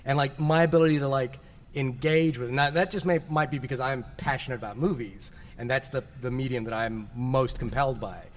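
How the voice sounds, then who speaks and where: A man's voice: mid-range voice, a little fast. A man talking casually and conversationally as a podcast host in a live panel discussion. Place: large indoor convention panel room. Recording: reverberant convention hall.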